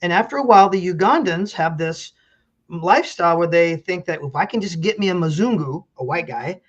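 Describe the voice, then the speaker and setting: A man's voice: high voice, animated, fairly quick, lo-fi sound. Earnest middle-aged man telling stories. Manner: earnest, storytelling, frequent restarts. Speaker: county commissioner and pastor. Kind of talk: interview guest.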